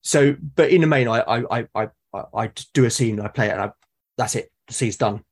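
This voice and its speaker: Man, thoughtful and halting. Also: medium pitch, breathy edge. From Britain. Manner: halting, repeats words as he thinks aloud. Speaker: podcast guest, solo roleplaying podcast creator. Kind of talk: conversational interview, explaining own creative process.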